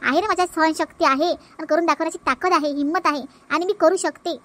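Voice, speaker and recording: A woman's voice: very high voice, fairly even intonation, brisk, a bit bright. A woman voicing a proud, determined young village wife in a family cartoon, assertive and emphatic, with a Vidarbha Marathi accent. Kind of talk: comic family cartoon dialogue.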